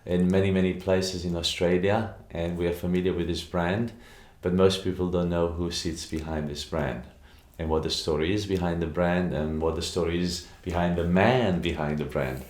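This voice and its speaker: A man in his forties hosts a faith interview with a very low voice, friendly and prompting. He sits in a book-lined studio with a table mic, and the room adds a roomy echo. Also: fairly even intonation, normal speed, hardly any pauses, darkish tone. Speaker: podcast host.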